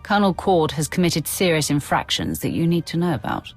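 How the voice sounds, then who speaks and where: An actress playing a composed, commanding Sith warrior in a video game, speaking formally and with authority. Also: female voice, low-pitched, sing-song, brisk, vocal fry, soft background music, heavily acted. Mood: stern. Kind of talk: dramatic video game acting.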